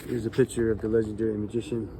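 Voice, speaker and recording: A man hosting his own channel, reading magic history from a book aloud in a calm, relaxed manner. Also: lowish voice, very fast, slight background noise. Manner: calm, relaxed delivery meant to soothe. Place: California.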